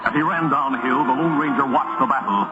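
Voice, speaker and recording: A man's voice: mid-range voice, fairly quick, over loud music. Theatrical American man narrating vintage radio drama. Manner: theatrical, solemn storytelling. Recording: muffled vintage radio recording.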